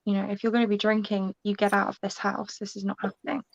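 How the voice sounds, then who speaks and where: A woman's voice: mid-range voice, level tone, fast talker, creaky, dry recording, muffled recording. A woman chatting casually as a guest in an online book discussion. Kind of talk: casual book chat on a livestream.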